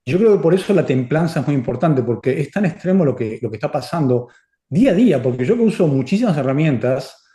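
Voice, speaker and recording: A middle-aged man whose first language is Spanish, answering audience questions in a casual, animated way with many restarts. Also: a little high, quick pace, roomy sound. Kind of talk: casual Q&A talk.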